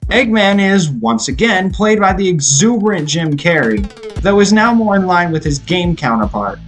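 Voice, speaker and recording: A man's voice: high voice, big pitch swings, normal speed. A young adult man delivering a scripted movie review as an enthusiastic, opinionated narrator. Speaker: video reviewer. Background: background music.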